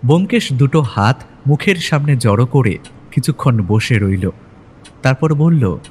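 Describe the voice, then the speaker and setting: A man's voice: mid-range voice, expressive, a little slow, darkish tone, a little hiss or hum. A man narrating a scripted audio story in the first person. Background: clear music.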